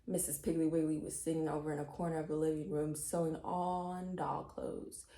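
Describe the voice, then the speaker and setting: A woman narrating a children's chapter book in an expressive read-aloud style. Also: sits low, normal speed, roomy sound. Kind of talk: expressive scripted story reading.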